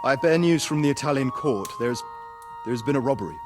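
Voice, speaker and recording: A man's voice: mid-range voice, animated, brisk, music in the background, lightly acted. A man playing a nervous, deferential royal messenger, hesitant and stammering, in a medieval video game cutscene. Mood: fearful.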